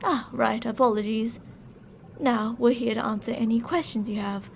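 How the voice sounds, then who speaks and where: A high, heavily creaky female voice acted by a woman plays a mad scientist captor in an ASMR roleplay. She is syrupy and courteous with a stern, controlling edge. There is clear noise behind her. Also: steady tone, moderate pace. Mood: sweetly menacing. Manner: sugary politeness turning stern and commanding. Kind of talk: ASMR roleplay audio drama.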